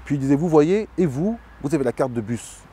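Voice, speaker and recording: A man recalling his school days in a measured, reflective interview. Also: a little high, lively intonation, mellow, husky. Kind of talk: personal recollection.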